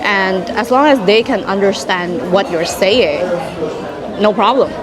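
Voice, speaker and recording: A woman's voice: medium pitch, a crowd in the background, poor audio. A young woman in her twenties from Indonesia, chatting casually in a Q&A vlog, full of "like"s and asides. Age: 20s. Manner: chatty, many "like"s, quick asides. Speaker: vlogger. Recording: music playing in the background.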